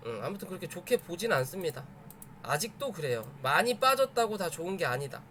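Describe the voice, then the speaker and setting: High-pitched man giving animated live chart commentary. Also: fairly quick, slight background noise. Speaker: stock chart analysis streamer.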